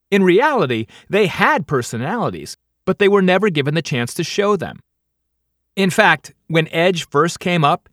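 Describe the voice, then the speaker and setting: A middle-aged man narrating his own memoir as an audiobook, with wry delivery and deliberate pauses before punchlines. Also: a little high, a bit bright, no echo. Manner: wry, dry comic timing with punchline pauses. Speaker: author reading own audiobook. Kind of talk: scripted audiobook narration, first-person memoir.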